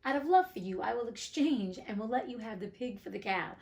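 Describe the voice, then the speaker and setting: Woman playing an assured storybook butcher, lively pitch. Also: fairly quick, vocal fry. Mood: persuasive. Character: obliging, sly butcher in fairy tale.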